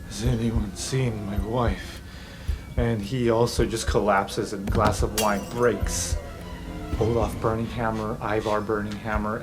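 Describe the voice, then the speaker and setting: A man running a tabletop game, narrating the scene casually with plenty of fillers. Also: fairly low pitch. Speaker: game master.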